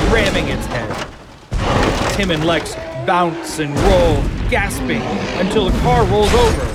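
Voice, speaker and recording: A man narrating an audio drama from a script in a deliberate, suspenseful way. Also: on the high side, heavy background noise. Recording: loud rain and storm effects behind.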